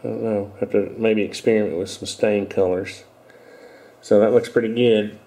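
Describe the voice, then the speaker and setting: A middle-aged man talks at an easy, rambling pace, often correcting himself as he goes. His voice sits a little low and sounds dark and mellow, with a stuffy, congested edge. It is picked up close on a headset mic in a small room. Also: steady tone, normal speed. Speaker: video host. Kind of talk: casual show-and-tell talk.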